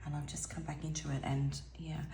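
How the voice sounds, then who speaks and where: A woman giving an intuitive tarot reading in a musing, soft-spoken way, her voice a little hoarse and now and then dropping to a near whisper. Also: sits low, level tone, brisk, roomy sound, slight background noise. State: slightly hoarse, losing her voice. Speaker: tarot reader.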